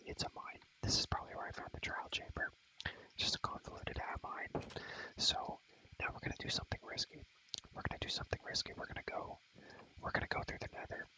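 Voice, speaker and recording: A young man whispering casual, rambling ASMR gameplay commentary, full of slang and repeated phrases, in a breathy, unvoiced voice. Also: low-pitched, bright, forceful tone, poor audio. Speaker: ASMR gaming video creator.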